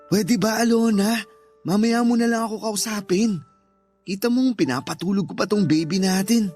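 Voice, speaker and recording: A man's voice: high-pitched, normal speed, a little mellow, soft background music, pitched above the performer's own voice. A man playing a callous, irritable husband in a Tagalog radio drama, curt and dismissive. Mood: defensive, irritated. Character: callous, irritable husband who resents his stepchild.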